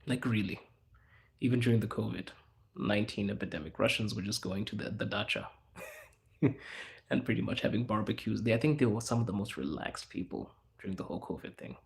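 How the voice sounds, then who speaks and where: A young man hosting a casual livestream, answering chat in a hushed inside voice because people are around him. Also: fairly low pitch, brisk, vocal fry. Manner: speaking quietly in an inside voice, laid back. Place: out in public in a city, people around.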